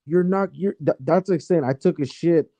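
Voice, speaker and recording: A man's voice: fairly high pitch, moderate pace, dark tone, no room sound. A man arguing casually and insistently in slangy debate as a caller, talking over the other speaker.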